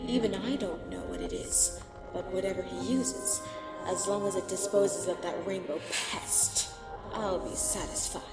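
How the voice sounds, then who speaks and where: Evil pony queen: haughty, commanding, unhurried delivery for fantasy audio drama, reverberant over loud music. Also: mid-range voice, big pitch swings. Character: imperious pony Queen of Darkness, menacing and proud. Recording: echoey long reverb, loud music underneath.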